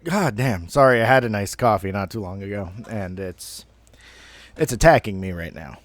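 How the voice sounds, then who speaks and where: A man's voice: on the low side, animated, normal speed, dry recording. A man in his thirties telling a personal story casually, in a rambling, self-deprecating way with some mild swearing. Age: 30s. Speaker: online storyteller.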